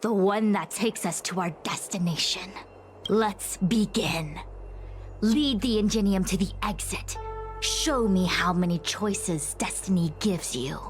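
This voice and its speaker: A woman voicing a solemn, fatalistic master diviner in a game, speaking in a measured, lecturing way. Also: medium pitch, close to an everyday voice. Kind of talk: scripted game voice acting. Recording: background music under the voice.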